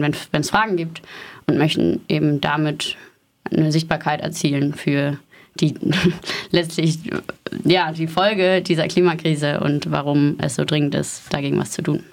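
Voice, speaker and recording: A woman's voice: low-pitched, creaky, a little mellow. A woman interviewed as an activist in a radio studio, giving structured, explanatory answers. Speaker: climate and health activist being interviewed.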